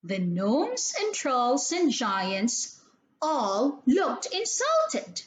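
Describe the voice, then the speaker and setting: A woman reading a children's story aloud in an expressive, theatrical way, with deliberate emphasis on key words. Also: sits high, a little hiss or hum.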